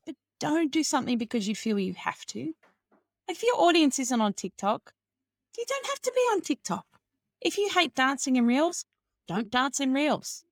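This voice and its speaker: A woman's voice: high voice, no room sound, studio quality. A woman guest on a podcast who talks about business bluntly and cheekily, with strong emphasis. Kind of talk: casual interview chat.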